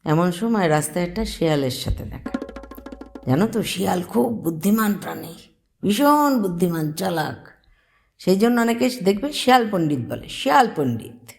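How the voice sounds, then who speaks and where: A woman's voice: lowish voice, big pitch swings, moderate pace, vocal fry, darkish tone, soft background music. A woman telling a story to children, explaining simply and putting questions to her young listeners.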